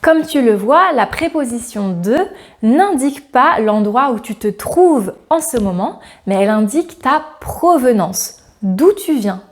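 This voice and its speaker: Friendly female language teacher, fairly high voice, clear and direct to camera. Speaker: French teacher. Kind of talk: scripted grammar lesson to camera.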